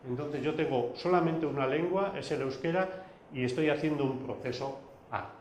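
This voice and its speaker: A man whose first language is Basque, giving a recorded video lecture, pausing often with fillers and restarts. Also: a little high, reverberant, a little hiss or hum, poor audio. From Basque Country. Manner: hesitant, many fillers and restarts.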